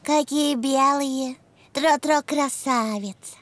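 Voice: high-pitched, slow. An actor voicing an eager young donkey boy in a preschool cartoon, talking in simple, exclamatory, childlike phrases. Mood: chatty. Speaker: main character of a preschool cartoon. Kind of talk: Russian-dubbed preschool cartoon acting. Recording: music under the voice.

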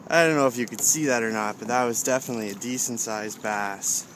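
A man's voice: medium pitch, a little fast, fairly bright tone. A man casually narrating a fishing vlog outdoors from a kayak, muttering as if to himself in a laid-back way. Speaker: fishing show host. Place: outdoors on a kayak on open water. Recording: noisy outdoor camcorder audio with ambient noise.

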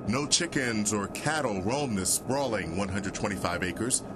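A man narrating a TV news report in a steady, measured way. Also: on the low side, heavy background noise.

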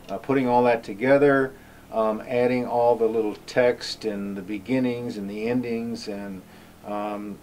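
A man's voice: medium pitch, darkish tone, slight background noise, poor audio. A middle-aged man talking casually to camera on an outdoor cabin porch, rambling with many ums and uhs. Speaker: homestead YouTuber.

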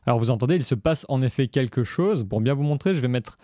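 A man's voice: medium pitch, animated, fast, warm tone, no echo, clean studio sound. A man presenting a music production tutorial in a casual, step-by-step explanatory way. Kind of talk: casual screencast tutorial narration.

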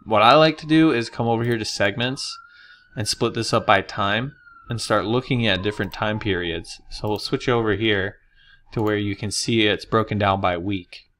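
A man talking casually through a screen-recorded software tutorial, explaining as he goes with the odd filler. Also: on the low side, moderate pace. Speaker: marketing consultant.

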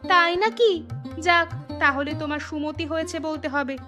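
A woman voicing a sharp-tongued village farmer's wife in a cartoon, scolding her husband in a brisk way. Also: sits high, over music, close to an everyday voice. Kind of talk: cartoon voice acting.

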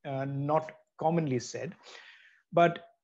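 A man from India speaking as a panelist on a video call, measured and reflective. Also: fairly high pitch, darkish tone. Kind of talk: panel discussion. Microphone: webcam on a video call. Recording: dull video call audio.

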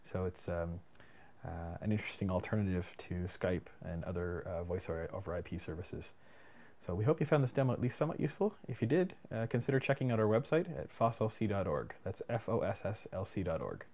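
A man presenting a casual screencast software tutorial in an informal, plain-spoken and somewhat hesitant way. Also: deep voice, animated, brisk. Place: indoors at a computer. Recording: clear background room noise.

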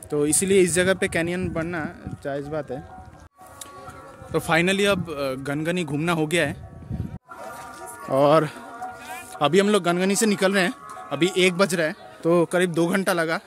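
A man narrates a casual travel vlog, explaining things with halting pauses. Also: on the high side. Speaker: travel vlogger. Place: outdoors at a tourist site and inside a car.

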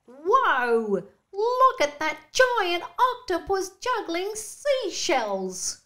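Woman as an excitable, high-pitched little clownfish. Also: very slow, exaggerated voice. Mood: amazed. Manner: wide-eyed, exclaiming. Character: excitable, polite little male clownfish passenger.

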